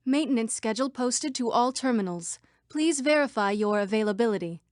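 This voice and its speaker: Here is a young woman playing an earnest citizen program from a digital world in a video game. The voice is fairly high and very dry. Each line is crisp and dramatic. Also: expressive, brisk, a little mellow, dry recording, lightly acted. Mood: calm, official.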